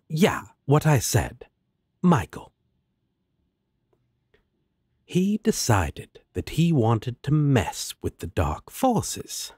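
A man's voice: on the low side, darkish tone, slightly rough, no echo, clean studio sound, heavily acted. A man playing a smug, teasing demon with a sardonic, drawling manner in an audio roleplay.

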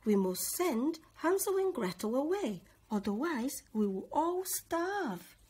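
A woman's voice: high-pitched, big pitch swings, takes it slowly, a little mellow, slight background noise, voice pushed up, heavily acted. A woman voicing a selfish, bossy stepmother from a fairy tale in a stern, scolding way. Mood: insistent.

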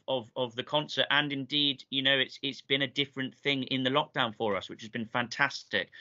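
Very bright, forceful British man interviewing. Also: medium pitch. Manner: enthusiastic, halting with repeated phrases. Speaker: interviewer, school music staff. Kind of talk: conversational interviewing. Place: indoors, room with bookshelves. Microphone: video call, computer mic. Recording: slightly dull video call audio.

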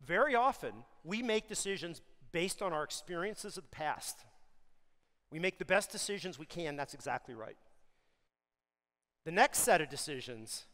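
A middle-aged man delivering an energetic, emphatic conference keynote on a large stage, pressing hard on key words as his pace rises and falls. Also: fairly high pitch. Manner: energetic, emphatic, speeds up and slows down for stress. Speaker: keynote speaker for an industry association. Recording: long hall reverb of a big venue.

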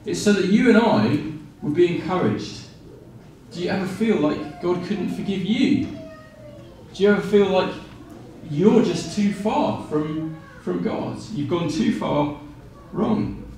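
A man's voice: high-pitched, a little slow, recorded from a distance, a little hiss or hum. Man preaching in an echoey room. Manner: animated, sweeping arm gestures, many fillers and restarts. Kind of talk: sermon. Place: small room with blinds, indoors.

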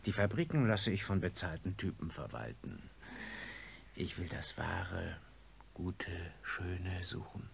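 An actor playing a wealthy, impatient industrialist in a radio drama, with a commanding manner. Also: male voice, sits low, big pitch swings, slow, gravelly, full character voice. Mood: smug, elated. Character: wealthy industrialist reborn in a young body, imperious, impatient. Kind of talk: German radio drama acting. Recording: hissy radio play recording.

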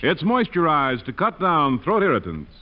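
A man's voice: a little high, sing-song, normal speed, noisy background. A man working as a commercial announcer, reading an emphatic 1940s radio advertisement. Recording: muffled 1940s radio recording.

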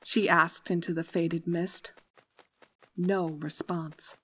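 A woman's voice: on the low side, steady tone, takes it slowly, fairly bright tone, slightly rough. A woman reading scripted fan-fiction story narration as the narrator. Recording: music under the voice.

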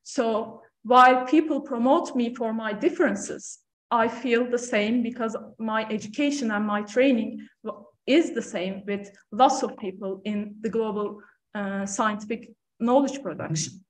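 Woman on a panel, kinda high voice, earnest and measured, echoey room. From Turkey. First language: Turkish. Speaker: panel speaker. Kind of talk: prepared talk at a panel event. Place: lecture room on stage, seated panel.

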